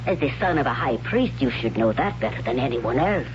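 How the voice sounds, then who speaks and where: An actress playing an imperious, contemptuous high priestess in a radio drama, haughty, stately and cutting. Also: female voice, lively intonation, fairly quick. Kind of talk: 1970s radio drama acting. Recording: noisy, dull 1970s radio broadcast with hum.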